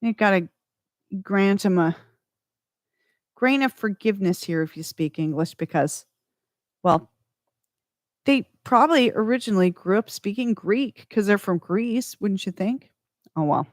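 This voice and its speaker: A woman radio host talks slowly and casually between songs, drifting off and correcting herself as she goes. Her voice sits at a middle pitch and sounds close and dry, with no room around it. The talk is unhurried and loose. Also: darkish tone, dry recording. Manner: slow, rambling, self-correcting.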